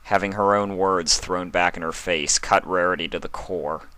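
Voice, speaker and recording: A man's voice: sits low, steady tone, normal speed, pushed and bright, no room sound. A man reading a story aloud as its narrator, in a scripted storytelling style. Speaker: narrator reading fan fiction.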